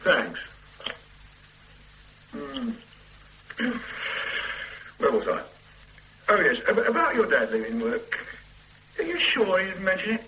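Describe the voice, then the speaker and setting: A dry, sardonic police sergeant. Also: male voice, sits high, huge pitch swings, takes it slowly, long pauses, bright, forceful tone, a little hiss or hum, exaggerated voice, poor audio. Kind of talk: radio drama acting.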